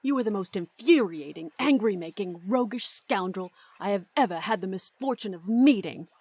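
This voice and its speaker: A woman playing a haughty, indignant high-born young lady who scolds in a comic audio drama. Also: lively intonation, moderate pace, darkish tone, no echo.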